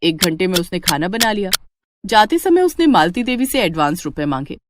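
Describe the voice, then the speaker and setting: Animated woman narrating a family story. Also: on the low side, normal speed, noisy background.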